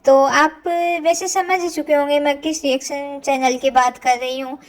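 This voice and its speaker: A woman's voice: high-pitched, fairly even intonation, normal speed. A woman vlogger giving informal gossip commentary in an opinionated, insistent, questioning manner. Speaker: reaction vlogger.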